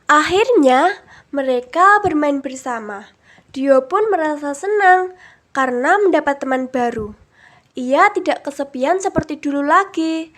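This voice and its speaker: A woman's voice: sits high, animated, unhurried, fairly bright tone. A young woman, a student storyteller, narrating a scripted children's tale. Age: young adult.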